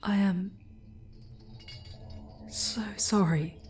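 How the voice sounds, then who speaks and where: Woman acting as proud ancient demoness, dark soft timbre, very slow, breathy, dramatic roleplay, slightly off-mic. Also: medium pitch, fairly even intonation, recorded from a distance, soft background music. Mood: remorseful, pleading. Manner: hushed, breathy, halting delivery. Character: ancient female demon, proud but remorseful seductress.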